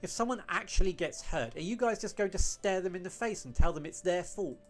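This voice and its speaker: Man voicing an indignant young noble girl, raised pitch. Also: lively intonation, fairly quick, faint music behind. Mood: indignant, pleading. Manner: emphatic, indignant. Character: earnest, alarmed young noble girl, exasperated and moralizing. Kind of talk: visual novel character readthrough.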